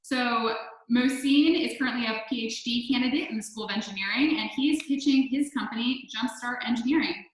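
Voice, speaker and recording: A woman moderates a pitch competition and briskly introduces the next presenter. Her voice sits a little high. She stands away from the mic, and a strong room echo surrounds her. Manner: brisk, keeps things on schedule. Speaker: event moderator. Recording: echoey room, far from mic.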